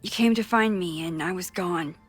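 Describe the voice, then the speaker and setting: An actress voicing an earnest, worried young woman in a naturalistic video game drama, speaking in a measured, hesitant way. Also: on the low side, slightly rough, soft background music. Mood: grave, confessional. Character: earnest, worried young woman, determined investigator with time-rewind knowledge.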